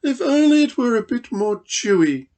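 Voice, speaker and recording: A man's voice: extremely high pitch, very animated, normal speed, a little mellow, voice pushed up, exaggerated voice. A man voicing a graceful female emu for a children's storybook, with a fussy, fluttering, sighing delivery. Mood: airy, reassuring.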